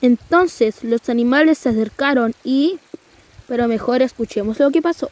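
A woman's voice: a little high, moderate pace, darkish tone. A young woman, narrating a scripted animal tale for an amateur radio play.

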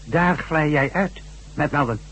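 A man's voice: a little high, unhurried, fairly bright tone, a little hiss or hum, low-quality recording. An actor playing a menacing, smugly taunting male chief giving cold orders in a science fiction radio drama. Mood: cold, smug menace.

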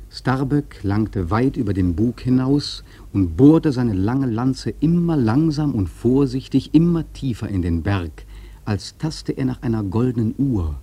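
Radio drama narrator: male whaler, dark, very raspy voice, solemn literary storytelling. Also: lowish voice. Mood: grave, dramatic.

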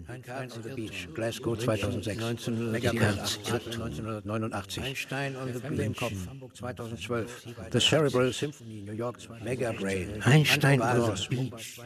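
A man playing an obsessive list-maker in a radio drama. He recites in clipped, repetitive fragments, in a fairly low voice over faint noise. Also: lightly acted.

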